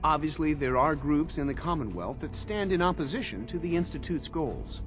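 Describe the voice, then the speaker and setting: A man's voice: on the high side, animated, normal speed, over music, natural voice. A man playing a firm, businesslike scientist board member in a video game, formal and insistent. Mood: explaining, firm.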